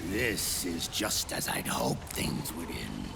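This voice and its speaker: An actor playing a grandiose, menacing older villain in a video game cutscene, delivering a slow monologue to his brother. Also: sits low, sing-song, gravelly, noisy background, full character voice. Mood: scheming. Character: brooding older male villain, Snake's brother.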